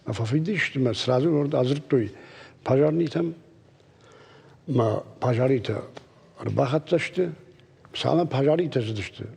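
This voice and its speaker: A man's voice: medium pitch, unhurried, vocal fry, long pauses, darkish tone, long reverb tail, slight background noise. A man being interviewed, giving a plain conversational account in short phrases. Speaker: interview guest.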